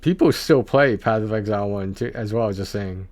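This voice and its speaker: A man's voice: on the low side, moderate pace, darkish tone, no room sound. A man livestreaming about video games in a casual, rambling, conversational way, speaking to his viewers on a close mic.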